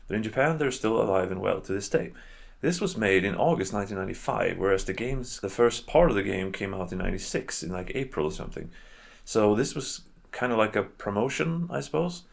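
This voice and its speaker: A man hosting a video in casual, unscripted commentary, with a halting, rambling and hedging way of talking. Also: on the low side, animated, creaky. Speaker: video host reviewing games and adaptations.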